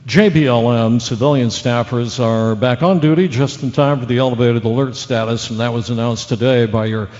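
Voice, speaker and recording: An actor playing a bumbling, chatty local radio news anchor who reads bulletins in a halting, folksy way with rambling asides. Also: male voice, medium pitch, a little mellow, long reverb tail, muffled recording, lightly acted. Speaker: radio news and weather reader.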